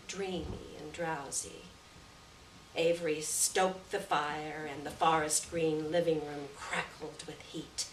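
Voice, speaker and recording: A woman reading a literary short story aloud at a live event, with measured, deliberate phrasing and stressed words. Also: sits low, a bit bright. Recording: distant roomy live recording, faint noise.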